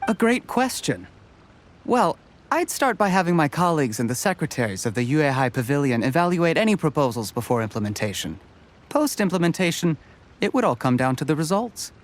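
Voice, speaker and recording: An actor playing a modest, personable candidate in a video game, articulate, polite and thoughtful. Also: male voice, a little high, big pitch swings, a little fast. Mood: assured, earnest.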